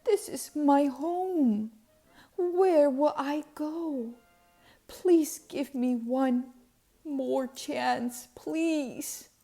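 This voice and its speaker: A woman's voice: high-pitched, drawn-out words, mellow, soft background music, pitched above the performer's own voice, full character voice. A humble storybook baker, acted out by a woman, anxious and hesitant. He pleads in a raised, faltering voice. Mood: pleading. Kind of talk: storybook character voice.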